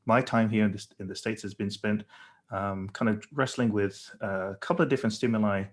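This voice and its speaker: A man's voice: on the low side, fairly even intonation, a little fast. A middle-aged man born in Burma and raised in England, with an English accent, speaking thoughtfully as a panel guest with many fillers and restarts. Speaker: panel guest, company founder. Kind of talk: conversational panel talk.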